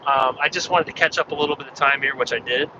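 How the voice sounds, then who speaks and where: A man slightly hoarse from losing his voice, chatting in a rambling way while driving. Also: mid-range voice, fast talker. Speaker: ham radio vlogger. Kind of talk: casual chat while driving. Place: inside a car on a freeway. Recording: dull muffled car recording with road noise. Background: road and engine noise.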